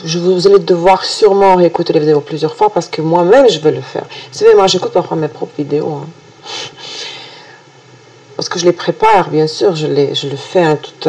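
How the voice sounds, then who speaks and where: A woman with a Russian accent, a native Russian speaker, presenting a weekly horoscope video in a halting way full of hesitations and restarts. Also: low voice, mellow. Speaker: astrologer.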